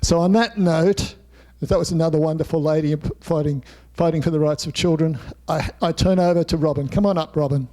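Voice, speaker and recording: A man hosts a club meeting and introduces the guest. His voice is somewhat high, and he jokes and rambles with frequent fillers. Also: fairly even intonation, fairly quick, dark tone. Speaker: club host introducing a speaker. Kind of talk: casual introduction.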